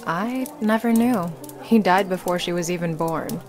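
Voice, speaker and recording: A woman's voice: on the low side, moderate pace, soft background music, natural voice. A woman playing a dry, guarded young necromancer in a visual novel, speaking matter-of-factly. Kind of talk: visual novel character acting.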